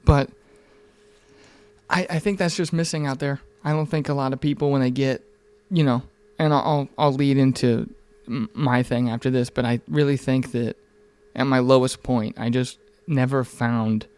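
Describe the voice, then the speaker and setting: Clear-voiced young man, candid podcast talk. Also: mid-range voice, steady tone, normal speed, darkish tone. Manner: informal, profane, rambling and earnest. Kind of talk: casual, candid podcast conversation.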